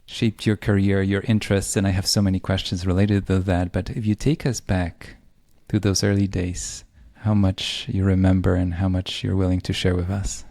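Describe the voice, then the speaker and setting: A man's voice: sits low, level tone, moderate pace, mellow. A man from Poland, a podcast host musing thoughtfully in conversational interview style. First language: Polish. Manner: thoughtful, laughs lightly, reflective.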